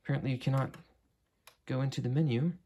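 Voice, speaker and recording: A young man with a mellow, slightly husky voice. He talks casually through a hands-on demo at his desk, halting now and then and keeping things understated. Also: medium pitch, steady tone. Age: late teens, young adult. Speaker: hobbyist reviewer. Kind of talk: casual unscripted product demo. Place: indoor room at a desk.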